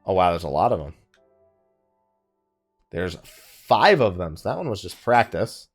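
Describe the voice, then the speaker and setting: A man's voice: deep voice, sing-song, a little slow, faint music behind. A man giving casual let's play commentary, thinking aloud in a wry, conversational way. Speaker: gaming YouTuber, host of the let's play.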